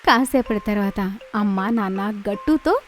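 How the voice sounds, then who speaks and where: A woman reading scripted narration for an animated children's story. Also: medium pitch, measured pace, soft background music.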